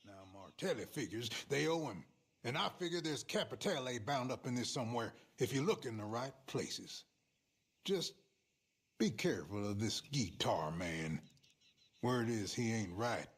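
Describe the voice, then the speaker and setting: An actor playing a shady, folksy frontier fixer in a video game, with an American accent and a knowing drawl. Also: male voice, medium pitch, expressive, moderate pace, husky. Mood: wary, conspiratorial. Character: shady male frontier fixer, sly, folksy outlaw schemer. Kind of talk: video game cutscene acting.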